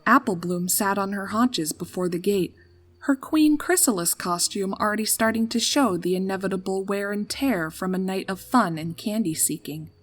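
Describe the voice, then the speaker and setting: A woman's voice: mid-range voice, moderate pace. A woman reading a scripted story as narrator in a dramatic storytelling style. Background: background music.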